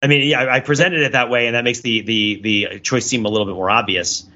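A man's voice: mid-range voice, steady tone, fast, sharp tone, lo-fi sound. A man co-hosting a casual analytical podcast, talking in a discursive way and thinking aloud as he qualifies his points. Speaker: podcast co-host.

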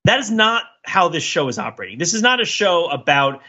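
A man with a bright, forceful and husky voice works through ideas as a podcast co-host. He is wordy and emphatic, swinging widely in pitch as he makes each point. The recording is a little dull and lo-fi. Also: very animated, fairly quick. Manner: wordy and emphatic, often checking with "right?". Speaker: podcast co-host discussing a TV series. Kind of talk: casual analytical discussion among co-hosts.

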